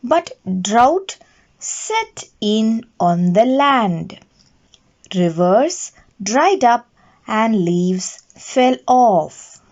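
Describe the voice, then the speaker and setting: A woman reading a children's bedtime story aloud in a deliberate, expressive way. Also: a little high. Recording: dull, lo-fi recording.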